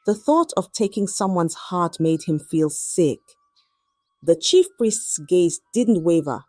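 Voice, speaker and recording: A woman narrating a scripted folktale in a dramatic, measured storytelling manner. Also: on the low side, animated, dark tone, no room sound.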